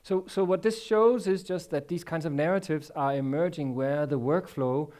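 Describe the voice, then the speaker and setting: A man in his forties with a Danish accent giving a technical conference talk on a large stage, halting and repeating words often. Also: on the high side, music in the background. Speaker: conference speaker.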